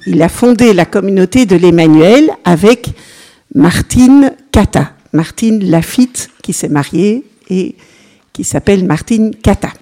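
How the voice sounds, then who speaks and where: Older Belgian nun teaching a group, slow and unhurried, chatty asides. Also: female voice, mid-range voice, expressive, warm tone. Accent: Belgian French. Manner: chatty, unhurried, peppered with "voilà", engages listeners. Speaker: consecrated religious sister giving a teaching. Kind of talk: informal religious teaching to a group.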